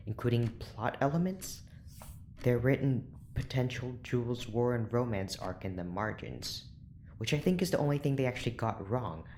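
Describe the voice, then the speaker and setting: An actor playing a weary, irritable scientist in charge, curt and sarcastic, in an audio drama. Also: male voice, medium pitch, darkish tone, slight background noise, close to an everyday voice. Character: weary, irritable scientist boss running secret experiments.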